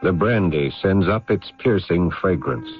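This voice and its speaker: An actor playing a weary, burdened family man in a theatrical radio drama, measured when reflecting and exasperated when arguing. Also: low-pitched, lively intonation. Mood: somber, reflective. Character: weary, burdened man caring for troubled family, narrating memories. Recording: muffled old radio broadcast, music underneath.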